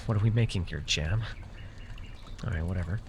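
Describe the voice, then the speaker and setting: A man's voice: low voice, a little fast, gravelly, slight background noise. A man playing a proud, easily exasperated magical herbalist in a fantasy roleplay, talking straight to the listener with theatrical airs and sheepish, stammering asides. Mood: instructing, wry. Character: proud, easily exasperated young magical herbalist who gave away his name.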